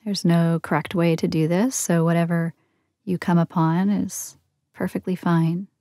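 A woman leading a guided meditation in a soothing, gentle, unhurried manner with long pauses between phrases. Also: on the low side, steady tone, no room sound, clean studio sound. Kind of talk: guided yoga nidra meditation.

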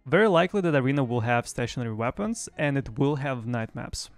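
A man's voice: mid-range voice, lively intonation, fairly quick, no room sound. A young man presenting a gaming news recap in a semi-scripted style. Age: young adult. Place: small home streaming room. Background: faint music.

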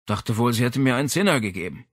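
A man performs a hearty, slightly sheepish shopkeeper in an audiobook crime story. His talk is emphatic, lifted in pitch and very animated, recorded dry. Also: quick pace, no echo, lightly acted. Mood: embarrassed. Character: male shopkeeper, hearty but sheepish, with stubble. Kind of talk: audiobook character dialogue.

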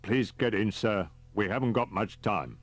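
A man voicing a brisk, deferential government driver, chatty and matter-of-fact, as audiobook dialogue. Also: medium pitch, very animated, a little mellow, dry recording, voice pushed up, natural voice. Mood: urgent politeness.

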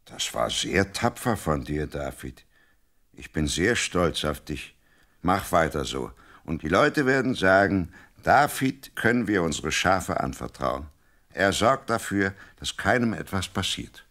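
Father of a shepherd boy: low, expressive, kindly and approving, radio drama. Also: unhurried, full character voice. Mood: proud, caring. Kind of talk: radio play acting.

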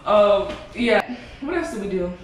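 A woman's voice: medium pitch, measured pace. Giggly young woman vlogging in an echoey kitchen. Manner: giggly, chatty, animated storytelling. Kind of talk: casual vlog chat. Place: home kitchen, indoors. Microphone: camera across the room. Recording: echoey room, far from camera, background noise.

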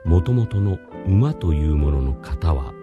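An actor playing a patient, mysterious older philosophy teacher, explaining in a measured radio drama style. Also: male voice, deep voice, very animated, phone-quality sound, full character voice. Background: music.

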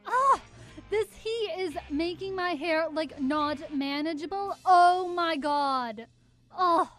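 A woman's voice: very high-pitched, takes it slowly, a bit bright, exaggerated voice. A young woman acting a vain, whiny, dim beach-show diva in a student radio comedy. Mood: whiny, snippy. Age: young adult. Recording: live radio studio, music behind.

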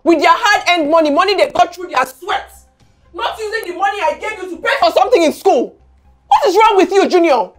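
Nigerian mom in a movie drama, high voice swinging all over, upset n scolding, faint music. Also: female voice, big pitch swings, brisk, a little mellow. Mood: angry, scolding. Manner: scolding, pleading, repeats questions. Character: distraught, angry mother scolding her teenage son. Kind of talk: film drama acting.

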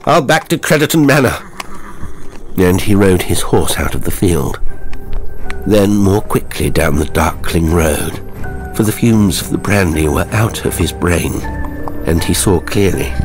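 A man with a very low voice narrates a horror tale as a dramatic audiobook. Music plays clearly behind his reading.

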